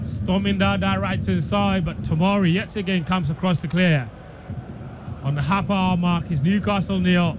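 A man giving live football commentary on the radio. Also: sits high. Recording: clear background noise, stadium ambience.

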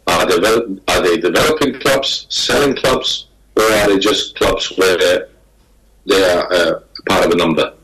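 A man from Denmark, speaking as a guest on a panel over a video call, halting and repeating words as he talks. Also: low-pitched, fairly bright tone, poor audio. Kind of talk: remote panel talk.

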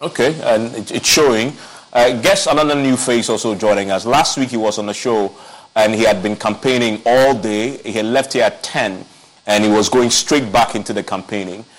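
A man's voice: fairly low pitch, normal speed, low-quality recording. A man hosting a political talk show, asking upbeat, probing questions of his guests in the studio. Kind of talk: political interview.

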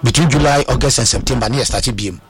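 A man's voice: mid-range voice, very fast, breathy edge, no echo. A man with a Ghanaian accent giving football analysis on a sports talk show, hesitant, with many fillers and restarts, switching into Twi. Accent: Ghanaian English.

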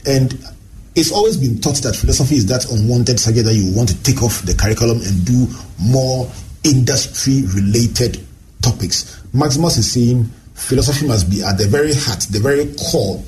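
A man's voice: mid-range voice, moderate pace, a little mellow, slight background noise, low-quality recording. A man hosting a talk show, chatting with guests and prompting them with questions. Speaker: host.

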